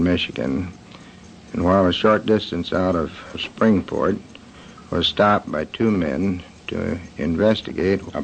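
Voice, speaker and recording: A man with an American accent giving a prepared eyewitness statement in a plain, halting way. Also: low voice. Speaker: eyewitness, bus company passenger agent. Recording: dull, faintly noisy 1940s radio recording.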